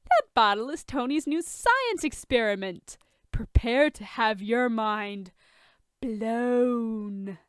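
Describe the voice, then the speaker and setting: A woman's voice: on the high side, animated, slow, clear, resonant tone, no echo, studio quality. A woman voicing a mischievous, gossipy male drinking buddy in a story reading, sly and teasing.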